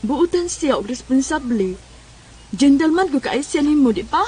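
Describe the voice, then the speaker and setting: A woman's voice: sits high, expressive, normal speed, dark tone, rough recording. A woman with a Cebuano Filipino accent playing a nosy, teasing confidante in a radio drama. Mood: teasing, urging.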